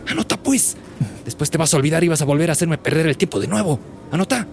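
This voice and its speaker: An actor playing a crooked, pushy market butcher in a radio drama, with a Bolivian accent and a slangy, singsong vendor's way of talking that wheedles and bullies. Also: male voice, fairly high pitch, animated, fast, a little mellow. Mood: bullying, impatient. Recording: clear outdoor street noise behind.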